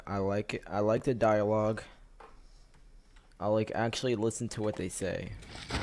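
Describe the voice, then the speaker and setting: A man giving casual, rambling gameplay commentary, often repeating phrases, with loud game music behind. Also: on the low side, heavy background noise. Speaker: game streamer.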